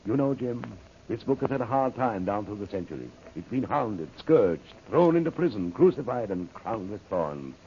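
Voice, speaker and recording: A solemn, pious old-time radio reverend, with a low, mellow voice. He speaks formally and fervently, and the old recording is muffled with faint noise. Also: sing-song, moderate pace. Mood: fervent, grateful. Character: pious, earnest older reverend, a devoted father. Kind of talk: 1930s radio serial acting.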